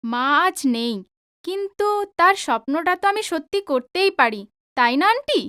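A woman's voice: very high voice, lively intonation, moderate pace, bright, forceful tone, full character voice. A woman playing a polite, determined young student in an audio drama, earnest and girlish. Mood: earnest, wistful.

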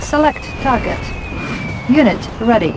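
An actress playing a detached military command announcer, giving short clipped status alerts in a video game. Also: female voice, a little high, expressive, a little slow, very noisy. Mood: impassive. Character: female military command announcer, crisp, impassive.

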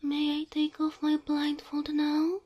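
A woman playing a gentle, affectionate cow girl girlfriend in an ASMR roleplay, breathy and often whispering, tender and full of wonder. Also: sits high, monotone, slow, dull audio, a little hiss or hum. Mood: soft, curious, trusting. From Slavic country.

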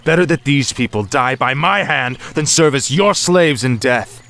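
An actor playing a proud, defiant young prince in a fantasy video game, forceful, resolute and imperious. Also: male voice, mid-range voice, animated, normal speed, gravelly. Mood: angry command.

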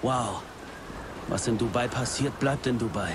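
A man's voice: mid-range voice, a little slow, noisy background, natural voice. A man playing a cocky, wisecracking special forces sergeant in a German-dubbed video game, with a flippant, joking manner. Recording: loud music under the voice.

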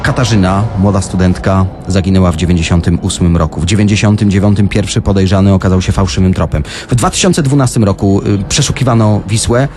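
A male radio host with a fairly low voice leads a conversation about crime. He is probing and a touch dramatic as he questions and narrates, with ambient noise audible behind him. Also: fast, a little mellow.

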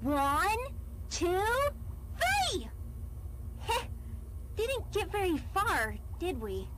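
A woman's voice: extremely high pitch, wildly swooping pitch, drawn-out words, heavy background noise, exaggerated voice. A woman voicing a hyperactive, relentlessly pushy bunny toy in cartoon voicelines. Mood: eager. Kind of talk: children's toy character voicelines.

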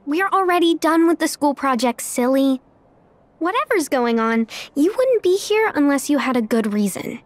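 A woman playing a kind, sensible, gently teasing teenage girl in an animated video game. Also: high voice, normal speed, dry recording.

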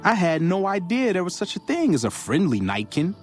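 Game guard: brash, opinionated man, higher-pitched, very animated delivery. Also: a little fast, faint music behind. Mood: incredulous, scornful. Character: brash male gun company guard, opinionated and incredulous. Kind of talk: video game character barks.

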